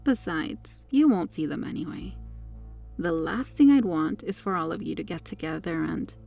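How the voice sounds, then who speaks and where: A woman's voice: lowish voice, animated, normal speed, creaky, dark tone, faint music behind. A woman playing a sweetly menacing, possessive superheroine kidnapper in an intimate ASMR roleplay, coy, smug and coaxing as she lingers over her words. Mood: tender, possessive.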